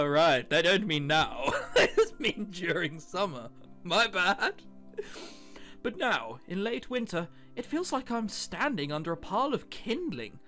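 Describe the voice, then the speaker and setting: A gamer hosts a let's-play, reading story text aloud and breaking off for wry comments. The delivery is animated, with pitch moving around a lot. Game music plays behind the voice. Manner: self-interrupting, wry joking asides. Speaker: host, let's-player. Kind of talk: casual gameplay commentary mixed with reading visual novel text aloud.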